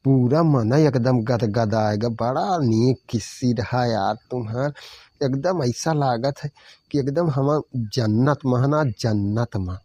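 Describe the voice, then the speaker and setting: A man's voice: medium pitch, slow, mellow. A man voicing a smitten, sweet-talking village lover in a cartoon comedy, with an Awadhi accent and a cooing manner. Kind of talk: cartoon comedy dialogue in rural dialect.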